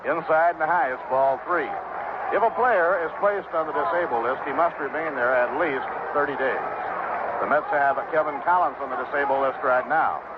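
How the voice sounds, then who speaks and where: A man's voice: a little high, moderate pace, narrow, tinny audio. An American man calling baseball play-by-play in the style of 1960s radio commentary. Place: outdoor ballpark broadcast position. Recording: thin, very noisy vintage radio broadcast. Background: heavy noise and stadium ambience.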